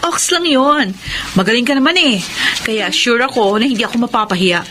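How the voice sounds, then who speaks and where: A woman's voice: fairly high pitch, lively intonation, moderate pace, a bit bright, slight background noise. A woman with a Filipino accent playing an outspoken, chummy young working woman in a radio drama. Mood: chummy, confident.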